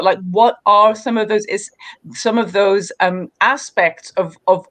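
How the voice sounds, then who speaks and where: A woman author from Egypt, speaking reflectively in a livestream conversation, with many "you know" fillers. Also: medium pitch. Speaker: author guest.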